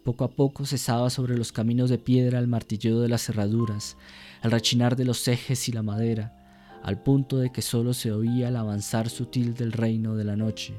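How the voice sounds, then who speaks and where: Mellow, even-toned male radio drama narrator. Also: medium pitch, soft background music. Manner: measured, solemn, descriptive.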